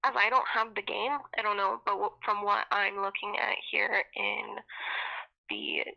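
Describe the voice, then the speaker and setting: A woman's voice: mid-range voice, animated, measured pace, creaky. A woman working as a customer service representative, patiently and hesitantly explaining things on a muffled phone call. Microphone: telephone call. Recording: dull, muffled phone line.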